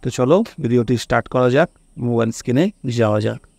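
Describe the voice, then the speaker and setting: A man's voice: medium pitch, fast, creaky, darkish tone, no room sound. Man giving a close, dry phone tutorial. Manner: instructional, repeatedly checking the listener follows. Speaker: tech tutorial host. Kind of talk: casual step-by-step phone tutorial.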